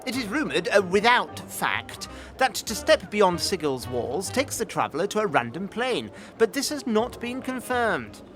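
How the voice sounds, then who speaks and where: Someone acting a bookish old fantasy scholar guy, nervous n polite, pitch jumps all over, music underneath. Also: very animated, a little slow, few pauses, a bit bright. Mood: earnest, lecturing. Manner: hesitant, courteous, pedantic, formal phrasing. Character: fussy, bookish, nervous older male faction scholar. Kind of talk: fantasy audio drama dialogue. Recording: music behind the voice.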